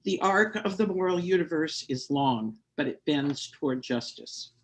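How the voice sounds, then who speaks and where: Lay worship speaker, reading a historical tribute, mid-pitched voice, measured pace, small personal asides. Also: animated.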